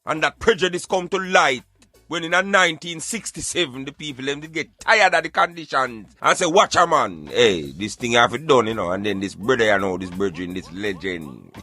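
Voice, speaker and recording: A man's voice: mid-range voice, expressive, moderate pace, pushed and bright, dry recording, soft background music. A Jamaican man arguing emphatically in Patois, in an impassioned debate. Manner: emphatic, argumentative, speaking in Patois. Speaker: radio show co-host.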